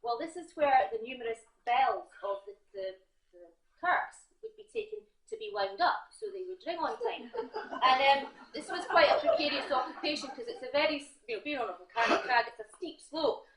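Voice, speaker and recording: A Scottish woman telling a comic tall tale live in a theatre, speaking directly to her audience with halting asides. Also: sits high, animated, crowd noise. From Scotland. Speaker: competition storyteller. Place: theatre stage before an audience. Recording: roomy, echoing theatre recording.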